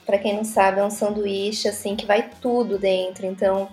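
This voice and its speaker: A woman's voice: medium pitch, level tone, moderate pace, a little mellow. A thirty-year-old woman with a Brazilian accent, chatting casually as a podcast guest. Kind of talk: casual chat. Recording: roomy echo, music behind.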